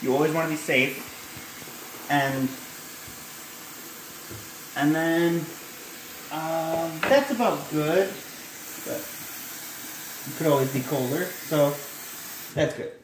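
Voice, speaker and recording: A man's voice: fairly high pitch, slow pace, pauses often, noisy background. A young man casually showing a recipe at home, chatting and correcting himself as he goes, filmed on a phone in his kitchen. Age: young adult. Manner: rambling, self-correcting, chatty asides. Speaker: cooking vlogger. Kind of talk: casual cooking demo. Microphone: handheld phone camera. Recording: echoey kitchen with faint clatter.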